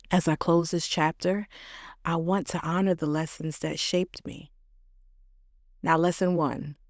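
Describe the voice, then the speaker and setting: A woman in her late thirties hosting a podcast, delivering a reflective scripted monologue in slow, measured, affirming short phrases. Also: low-pitched, a little mellow, no room sound, clean studio sound. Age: late 30s. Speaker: podcast host.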